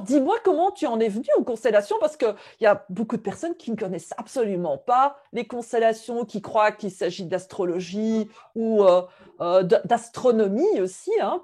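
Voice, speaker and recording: A woman hosting a video-call interview, upbeat, laughing often and pausing with fillers. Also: mid-range voice, darkish tone. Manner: upbeat, with frequent laughs and hesitations. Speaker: interview host.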